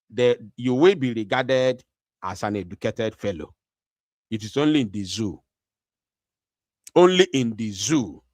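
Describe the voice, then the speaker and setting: A man with a Nigerian English accent gives a commentary monologue to his viewers. He talks emphatically, repeats his phrases and often pauses on fillers. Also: mid-range voice, no room sound. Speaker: online channel host.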